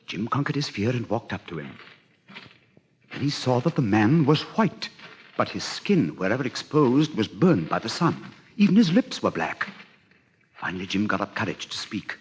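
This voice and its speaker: A male narrator with a mid-range voice and very lively, theatrical intonation. He builds suspense as he tells a story, on an old recording with faint noise and clicks. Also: medium pitch, moderate pace. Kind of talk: dramatic scripted storytelling narration.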